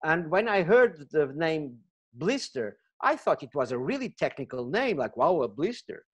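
A man's voice: fairly high pitch, sing-song, moderate pace, slightly rough. A middle-aged man with a Portuguese accent, a Portuguese native speaker giving an earnest webinar lecture over a webcam, with many fillers and restarts. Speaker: gemology lecturer.

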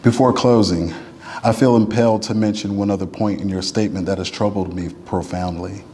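A man's voice: on the low side, steady tone, warm tone. Solemn man reading aloud in a reverberant church. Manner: deliberate, emphatic phrasing with slowed, falling phrase endings. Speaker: reader at a church service. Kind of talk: solemn oratorical reading of a written letter. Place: church sanctuary. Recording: long reverb of a large hall.

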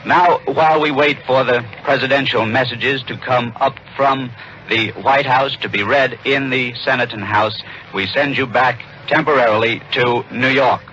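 An American male reporter reads the news in a bright, forceful voice of medium pitch. It is a muffled 1940s radio recording with faint noise. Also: normal speed. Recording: dull, noisy 1940s radio broadcast.

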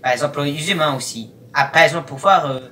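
A young man giving casual reaction commentary in a halting, rambling, disjointed way. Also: on the high side, crowd noise. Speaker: YouTube host. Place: small room at home, indoors. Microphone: webcam at arm's length or more. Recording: noisy webcam, distant from the mic.

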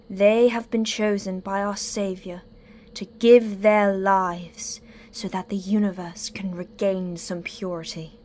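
Casting: actress for fanatical cult mother superior, audio drama; very measured, solemn, ceremonial delivery. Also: medium pitch, darkish tone, slight background noise, lightly acted. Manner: ceremonial, deliberate.

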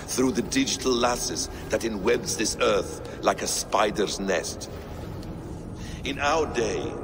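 An actor playing a calm, cryptic ancient man in a game cutscene, speaking in a measured, solemn way over music. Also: mid-range voice. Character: slow, cryptic, calm ancient male being. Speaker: ancient being in a simulation.

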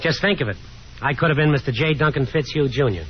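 A man's voice: on the high side, fast, slight background noise, lightly acted. An actor playing a restless, sardonic young man, a grown son, in a 1950s radio drama. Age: young adult. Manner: sarcastic, dramatic. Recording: dull 1950s radio recording.

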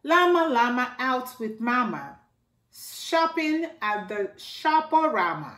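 A middle-aged woman reading a rhyming picture book aloud to children in a slow sing-song way, filmed indoors at home by a camera a few feet away. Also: on the high side, very animated, fairly bright tone. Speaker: storytime reader. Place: living room by a staircase, indoors. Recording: echoey room.

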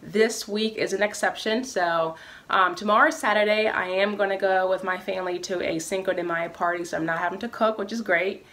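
A woman's voice: medium pitch, steady tone, a little fast, a bit bright. A woman in her thirties chatting casually in a home kitchen vlog, walking through her meal plan and listing groceries one by one in an upbeat way. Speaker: vlogger. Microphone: camera in front, medium framing.

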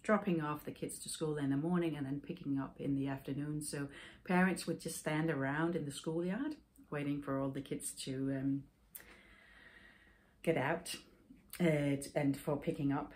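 A woman in her forties from Denmark, a native Danish speaker, hosting a knitting podcast and telling a personal story in a thoughtful, halting way with many fillers. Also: low voice, fairly even intonation, a little mellow. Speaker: podcast host. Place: home room with yarn shelves, indoors.

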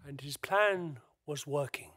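A male narrator tells a radio play tale in a dramatic, scripted style. His delivery is unhurried, and his voice sits a little high. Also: a bit bright.

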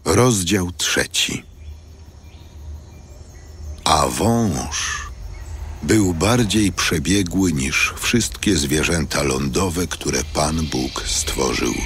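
Very deep, slow male scripture narrator. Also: animated. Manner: measured, formal. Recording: loud music under studio narration.

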